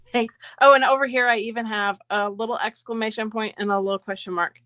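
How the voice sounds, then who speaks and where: A woman's voice: fairly high pitch, steady tone, fairly quick, fairly bright tone, no room sound. A woman appearing as a guest crafter who chats in a friendly way and explains her work eagerly. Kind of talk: casual live-stream chat.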